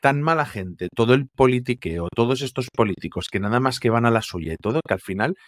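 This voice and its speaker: A man's voice: mid-range voice, animated, a little fast, dry recording, studio quality. A man co-hosting a casual TV series review chat over a video call, talking in an amused, conversational way and often restarting his sentences. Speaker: TV series critic co-host. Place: home room, remote call. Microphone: headset and desk mic over video call.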